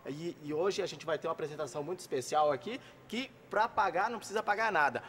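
A man in his thirties, a field reporter doing a live TV interview, brisk and lively. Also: a little high, slight background noise. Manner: brisk, lively, gestures a lot. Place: dark studio set.

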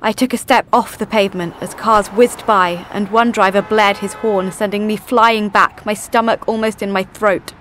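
A woman's voice: medium pitch, steady tone, normal speed, few pauses, fairly bright tone, a little hiss or hum, close to an everyday voice. Woman reading as a distressed audiobook storyteller. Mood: anxious. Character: distressed, confused fleeing first-person storyteller in a novel. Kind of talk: dramatic audiobook narration.

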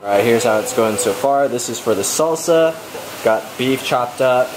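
A man's voice: medium pitch, expressive, normal speed, over loud music, rough recording. A man in his twenties talking casually to viewers as a fitness vlogger, upbeat and chatty, filmed at home and in a gym. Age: 20s. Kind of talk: casual vlog talk to camera.

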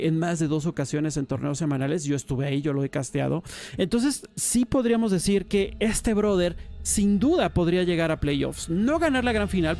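A man hosting a casual podcast, talking in an animated, enthusiastic way with lots of slang. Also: a little high. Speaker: podcast host and StarCraft caster.

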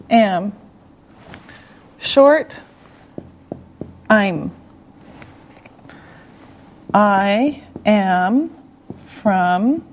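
A middle-aged woman from Canada teaching an English lesson, enunciating deliberately word by word and repeating herself, in a studio. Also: fairly high pitch, slight background noise. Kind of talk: slow classroom language lesson. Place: television studio classroom set.

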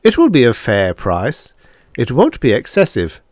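A man's voice: mid-range voice, huge pitch swings, a little slow, darkish tone, no echo, studio quality, voice pushed up. A man voicing a proud, suave devil in an audiobook, lecturing in a matter-of-fact, condescending way as he bargains. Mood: cool, bargaining. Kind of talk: audiobook character dialogue.

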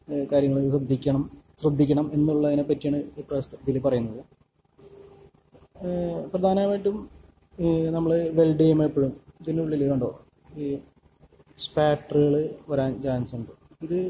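Halting Malayali man, dark-toned welding tutor. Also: a little high, a little hiss or hum, poor audio. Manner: halting, many filler sounds and restarts. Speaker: welding instructor. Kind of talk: hands-on tutorial voice-over. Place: workshop at a welding table.

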